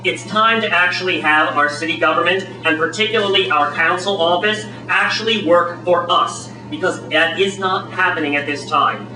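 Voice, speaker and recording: A man's voice: high-pitched, normal speed, hardly any pauses. A man giving a polished, upbeat campaign speech at a community meeting. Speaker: city council candidate. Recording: echoey hall from far off.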